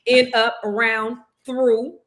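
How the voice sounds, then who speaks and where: A woman's voice: on the high side, slow pace. A woman hosting a casual talk show, chatting and laughing often as she tells stories. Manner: laughs often, animated storytelling. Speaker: podcast host. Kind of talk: casual chat.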